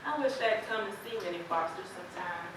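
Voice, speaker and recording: A woman's voice: lowish voice, fairly quick, lightly acted. A woman playing a regretful, protective, plainspoken farmer's wife in an amateur stage play, with an emphatic, emotional delivery. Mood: wistful regret. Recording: echoey stage, distant mic, faint noise.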